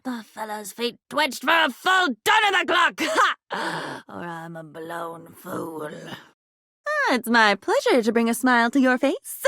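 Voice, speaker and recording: A woman's voice: on the high side, wildly swooping pitch, measured pace, bright, forceful tone, dry recording, clean studio sound, heavily acted. A woman voicing a video game character, an unstable living diary, lonely and frantic, with a theatrical, erratic delivery. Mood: whimsical, unhinged. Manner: theatrical, erratic, swinging between whispers and cries.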